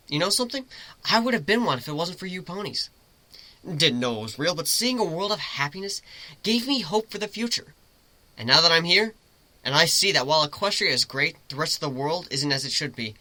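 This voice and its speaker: A man's voice: fairly high pitch, sharp tone, no echo, heavily acted. A man voicing a cocky, joking male griffin who teases and rambles informally in a dramatic story reading. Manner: informal, teasing, rambling explanations.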